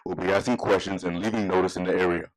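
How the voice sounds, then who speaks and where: A man acts out a male police detective with a very low voice. He questions people in a brisk, matter-of-fact, official way, moving quickly through his lines as in a drama read-through. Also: steady tone, low-quality recording. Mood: businesslike. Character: male plainclothes police officer, calm and businesslike. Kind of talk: scripted table read.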